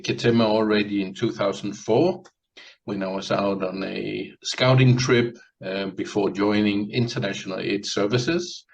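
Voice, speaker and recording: A man from Denmark, an aid organization manager, giving a measured interview testimony. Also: lowish voice, gravelly, low-quality recording. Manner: measured, with fillers.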